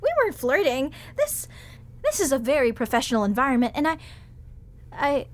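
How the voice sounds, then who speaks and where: A woman voice actor performing a sweet, gentle character in an acted audio roleplay, talking in a bubbly, soothing way. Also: high voice, sing-song, moderate pace.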